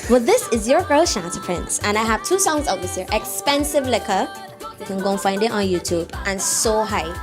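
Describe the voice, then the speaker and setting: A woman's voice: medium pitch, normal speed, music in the background. A woman singer with a Barbadian accent, chatting casually in an interview and telling stories with a laugh. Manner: lively, laughing storyteller.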